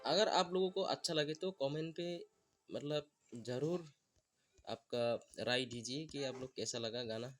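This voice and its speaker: A man who is not a native Hindi speaker gives a step-by-step beat-making tutorial, talking in a halting, apologetic way. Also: mid-range voice, over music. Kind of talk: music production tutorial. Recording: beats and instrument clips playing loud.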